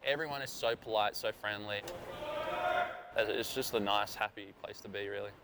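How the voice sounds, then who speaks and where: A man's voice: fairly low pitch, normal speed. Young guy, casual filler-heavy chat, noisy outdoor backdrop. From Australia. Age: young adult. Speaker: college athlete interviewee.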